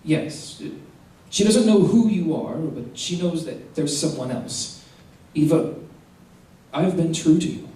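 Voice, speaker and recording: An actor playing a nervous, hesitant married man having an affair, in a halting old-time radio drama style. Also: a little high, expressive, mellow. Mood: earnest. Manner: stammering, halting. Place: theater stage, live performance. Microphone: stage stand mics, distant camera. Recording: echoey stage from far off, faint noise.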